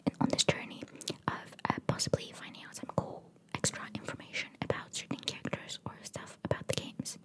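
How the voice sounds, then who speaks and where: A woman whispering very close to the microphone as an ASMR host, reading trivia aloud with casual, rambling asides and softly repeated phrases. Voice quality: breathy unvoiced whisper. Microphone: very close microphone, cupped by hand.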